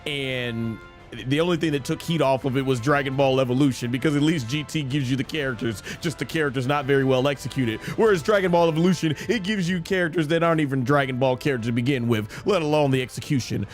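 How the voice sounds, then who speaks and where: A man's voice: medium pitch, fast talker, soft background music. A man in his thirties hosting a gaming livestream, chatting casually and explaining his opinions at length. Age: 30s. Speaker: streamer and host of a live gaming show. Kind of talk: casual livestream chat answering viewer questions.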